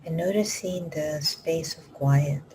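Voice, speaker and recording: A woman meditation teacher leading a live guided meditation, speaking slow, soft instructions that often drop to a whisper. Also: low-pitched, a little hiss or hum, rough recording. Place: live group session.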